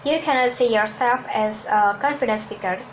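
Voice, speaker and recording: A woman from Hong Kong, a native Cantonese speaker, coaches viewers on confident speaking in an earnest, steady way, often restarting a word mid-phrase. Her voice sits a little high, with a faint hum of room noise behind her. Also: moderate pace, low-quality recording. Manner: earnest, repeats and restarts words. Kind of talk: instructional talk to camera.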